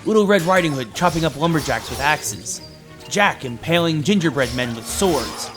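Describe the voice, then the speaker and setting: A man's voice: on the high side, expressive, moderate pace. A man narrates a scripted video game review in an emphatic presenter's delivery. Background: background music.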